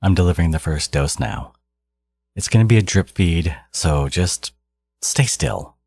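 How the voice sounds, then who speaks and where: A man playing a menacing, condescending genius supervillain in an audio roleplay, exasperated and obsessive yet caring, with a low, gravelly, husky voice. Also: lively intonation, a little slow, darkish tone, no room sound, studio quality, exaggerated voice. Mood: stern, irritated. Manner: condescending, menacing, theatrical, slipping into whispers. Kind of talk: dramatic second-person audio roleplay monologue.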